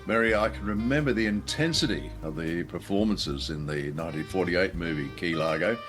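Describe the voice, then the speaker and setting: A man introduces a programme as its host, speaking scripted lines. His voice is very low, with plucked guitar music clearly behind him. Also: a little fast, a bit bright. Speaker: podcast host.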